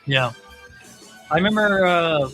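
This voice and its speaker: A man's voice: fairly high pitch, animated, slow, pushed and bright. A man hosting a podcast and chatting casually about music. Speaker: podcast host. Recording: music playing behind the voice. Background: clear music.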